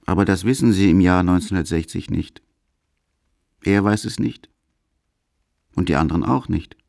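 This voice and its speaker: A man's voice: sits low, normal speed, creaky, darkish tone, no room sound, studio quality. A man narrating a radio documentary from a script.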